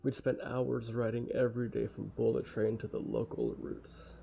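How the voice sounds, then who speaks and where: Very gravelly young man, casual gamer. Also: mid-range voice, level tone, mellow. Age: young adult. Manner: casual, halting, sweary. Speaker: let's play streamer. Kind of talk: reading a visual novel aloud with casual commentary. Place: small room at home. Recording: dull lo-fi mic with faint noise.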